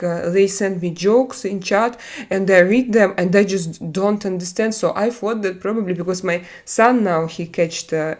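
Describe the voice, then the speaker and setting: Live-stream host, Russian woman with a Russian accent, English learner, halting delivery full of fillers, casual chat from home. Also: lowish voice, darkish tone. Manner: halting, frequent "uh" fillers while searching for English words.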